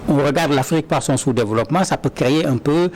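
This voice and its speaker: A man's voice: fairly high pitch, fast talker, darkish tone. A man answering interview questions earnestly, often repeating words as he starts a sentence. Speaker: interview guest.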